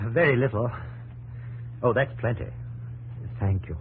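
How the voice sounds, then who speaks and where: An actor playing a confident but guarded, homesick young English engineer with polite, clipped answers in a 1950s radio drama. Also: male voice, mid-range voice, measured pace, lightly acted, low-quality recording. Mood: reserved. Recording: 1950s radio, faint noise and hum.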